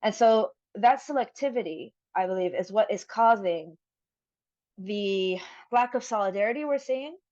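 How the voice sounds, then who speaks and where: A woman's voice: mid-range voice, moderate pace, a little mellow, no room sound, highs cut off. A woman from Jerusalem speaking on a forum panel over a video call, thoughtful, with frequent fillers and restarts.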